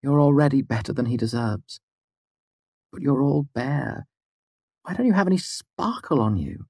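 Man voicing a sharp-tongued, sardonic woman, pitched up. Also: animated, normal speed, creaky, husky, no echo, studio quality. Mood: exasperated, brash. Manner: curt, sharp, sarcastic. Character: sardonic, blunt, foul-mouthed small woman with fierce pride. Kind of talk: audiobook character dialogue.

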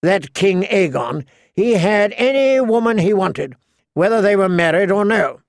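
A spoiled young king sneers and taunts in a shrill, high-pitched voice, as a male audiobook reader puts him on. His tone carries a gravelly edge, and the sound is close and dry. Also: lively intonation, unhurried, dry recording, full character voice. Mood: taunting. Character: cruel spoiled teenage boy king, shrill.

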